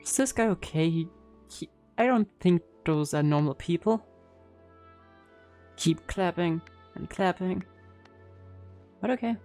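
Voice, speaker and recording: A woman's voice: low voice, a little mellow. A woman giving casual, wry and sceptical commentary as she plays and reacts to a game. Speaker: streamer playing and reacting to a game.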